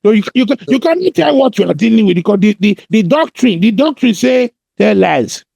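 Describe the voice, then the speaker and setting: A Nigerian man talks in a high, heavily gravelled and raspy voice. His delivery is animated and emphatic, hammering phrases by repeating them in impassioned podcast conversation. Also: fairly quick, no echo, muffled recording. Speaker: podcast co-host.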